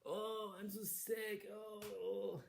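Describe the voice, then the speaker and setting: A man voicing a vain, scheming queen in a shrill, whiny, high put-on voice. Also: level tone, drawn-out words, creaky, full character voice. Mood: whining.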